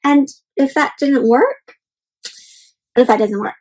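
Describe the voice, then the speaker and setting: High-pitched woman reading aloud to children. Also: slow pace, heavy background noise. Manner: expressive, encouraging, asks listeners questions; addresses listeners as friends, simple child-directed phrasing. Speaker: children's read-aloud host. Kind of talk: interactive picture-book read-aloud to children.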